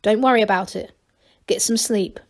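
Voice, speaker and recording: A woman's voice: mid-range voice, moderate pace, natural voice. A woman voicing a worried, kindly male gnome leader in a storybook, hesitant and polite.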